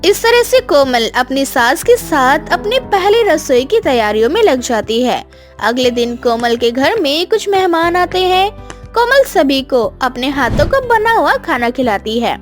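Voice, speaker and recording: High-pitched woman narrating a story. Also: big pitch swings, a little slow, soft background music.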